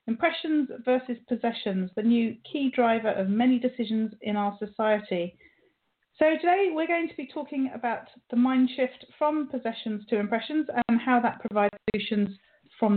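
A woman with a British accent hosting a radio interview show. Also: high voice. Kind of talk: scripted show introduction and conversational interviewing. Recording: narrow phone-line sound.

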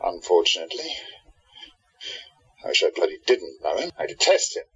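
A man's voice: sits low, very animated, moderate pace, fairly bright tone, slightly rough, dull audio, exaggerated voice. A man playing an intense, embittered hero in a radio drama, earnest and emphatic in his delivery. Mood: urgent, bitter. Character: intense wronged hero, bitter enemy of the villain.

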